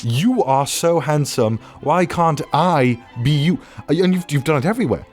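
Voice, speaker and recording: A man's voice: a little high, normal speed, a little mellow, lightly acted. A man voicing a haughty, sardonic butler who condescendingly roasts submissions in a theatrical, pompous way. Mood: exasperated. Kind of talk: theatrical comic roast reading.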